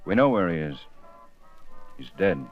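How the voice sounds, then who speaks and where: A firm, controlled police lieutenant in an old radio crime drama. His voice is very deep, and he speaks in short, flat orders. The broadcast sounds dull and old, with music clearly behind. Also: unhurried, full character voice. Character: terse, steady police lieutenant. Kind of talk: 1950s radio drama acting.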